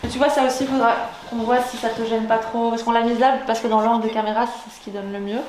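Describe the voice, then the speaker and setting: A woman's voice: fairly high pitch, level tone, fast, a little mellow, roomy sound, a little hiss or hum, poor audio. A woman on the studio staff, explaining practical matters.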